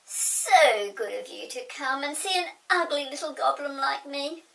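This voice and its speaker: A woman's voice: high-pitched, measured pace, vocal fry, pushed and bright, distant mic, voice pushed up, full character voice, low-quality recording. A woman voicing a small, fawning, over-polite male goblin in a children's storybook, gushing with courtesy.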